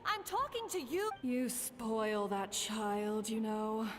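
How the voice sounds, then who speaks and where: A stern, fretting anime game mom with a high voice that swings up and down as she scolds. It rings with long reverb. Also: sing-song, takes it slowly, a little hiss or hum. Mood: exasperated, worried. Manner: scolding, exasperated. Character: stern, fretting, caring mother of a wayward child. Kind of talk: anime video game voice acting.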